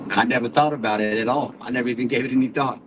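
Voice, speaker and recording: A man's voice: mid-range voice, steady tone, very fast, noisy background. A man in his fifties chatting casually as a guest, hesitant and stalling for time. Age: 50s. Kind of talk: casual chat.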